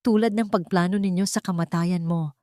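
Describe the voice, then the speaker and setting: A woman's voice: on the low side, fairly even intonation, brisk, dark tone, no echo, studio quality, lightly acted. A woman voicing a cold, controlled, vengeful female schemer in an audio story. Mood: icy.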